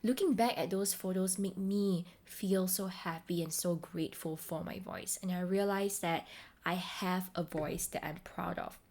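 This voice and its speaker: A woman with a slightly low voice delivers a solo podcast talk as a coach. She addresses the listener directly, in a deliberate and encouraging manner, as if guiding them through self-help advice step by step. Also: fairly even intonation, a little mellow.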